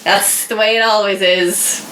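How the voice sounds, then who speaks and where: Bright-voiced young Irish woman, animated storyteller. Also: mid-range voice, measured pace. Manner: animated, acts out quoted remarks. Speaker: guest. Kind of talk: casual chat, personal storytelling. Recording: roomy echo, faint noise.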